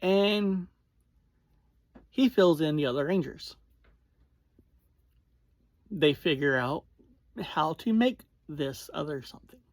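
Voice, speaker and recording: A reviewer with a mid-range voice talks through a recap in a casual, informal way. The pace is slow, broken into short phrases with self-corrections. Listeners are addressed directly, and the sound is dry with no room echo. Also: medium pitch, lively intonation. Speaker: podcast reviewer.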